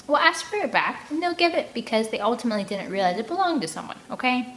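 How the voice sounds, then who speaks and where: A woman's voice: a little high, very animated, fast talker, a bit bright, slight background noise, voice pushed up. A woman voicing a self-assured young boy with a rational, persuading tone in a storybook read-aloud.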